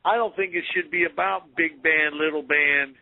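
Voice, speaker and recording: A man's voice: a little high, moderate pace, gravelly, phone-quality sound. A man from North Carolina, a metal band member, chatting casually in a radio interview and telling stories. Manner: storytelling, animated impressions.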